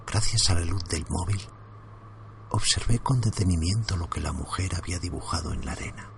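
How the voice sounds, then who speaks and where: Deep-voiced man narrating horror in hushes. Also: brisk, vocal fry, noisy background. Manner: hushed, suspenseful, drops into whispers.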